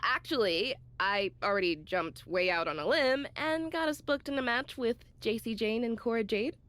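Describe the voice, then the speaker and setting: An actress playing a hot-headed, vengeful young female pro wrestler in a video game cutscene, excitable, emphatic and colloquial. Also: fairly high pitch, normal speed. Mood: coaxing.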